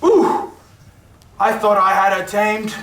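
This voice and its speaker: A man playing a menacing burglar in a staged radio play, rough-spoken and threatening. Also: animated, slow pace, a bit bright, heavily acted. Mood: threatening, irritated. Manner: rough, threatening, lower-class speech. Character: menacing, bullying male burglar. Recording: echoey stage recording from far off.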